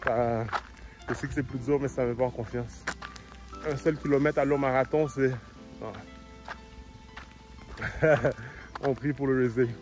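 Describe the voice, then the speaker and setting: A man's voice: medium pitch, steady tone, measured pace, pauses often, music in the background, poor audio. A man with a Quebec French accent vlogging to his phone while running outdoors, sick and out of breath. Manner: talking to the camera mid-run, with English slang mixed in. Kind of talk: casual running vlog. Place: outdoors on a road. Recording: loud outdoor noise.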